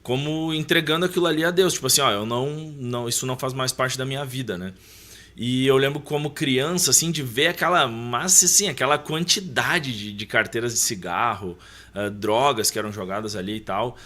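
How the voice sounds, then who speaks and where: A man's voice: medium pitch, brisk, pushed and bright. A man, reflectively telling personal recollections as a podcast guest. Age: 30s. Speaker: interview guest. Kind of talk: conversational podcast storytelling.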